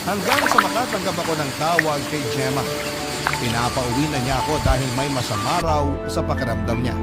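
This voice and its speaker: Loud music sits beneath an actor as a young Filipino man confessing his wrongs. He tells his story earnestly and with remorse, as scripted radio drama narration. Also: medium pitch, lightly acted. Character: remorseful young male scammer telling his life story. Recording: loud music bed under the voice.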